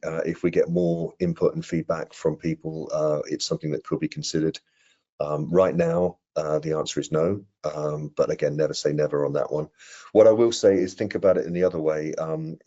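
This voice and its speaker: A middle-aged man answering technical questions in an online webinar, explaining things in a measured, careful way. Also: very deep voice, warm tone. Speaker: product presenter answering questions. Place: indoor room on a video call. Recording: muffled video-call audio.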